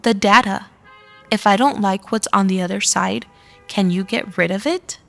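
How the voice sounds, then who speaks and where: Wary android heroine played by a woman: medium register, clipped demands, radio play over soft music. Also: mid-range voice, normal speed, gravelly, lightly acted. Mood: probing. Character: curt, distrustful synthetic woman seeking freedom. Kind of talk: radio drama acting. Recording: faint music under the voice.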